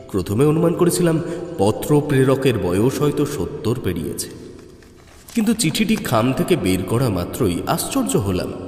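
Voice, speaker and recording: A man narrating a horror story in the first person, expressive and measured in his storytelling. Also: medium pitch. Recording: echoey reverb, music underneath.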